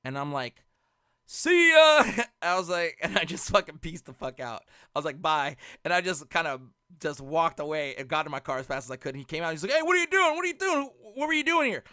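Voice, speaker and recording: Male storyteller, animated and profane, bright forceful tone, close dry sound, slips into reenacted dialogue. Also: fairly high pitch, a little fast, smooth, dry recording. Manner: animated, laughing as he talks, reenacts both sides of conversations. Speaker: storyteller and host of a live show. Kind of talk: casual, profane anecdote storytelling with acted dialogue.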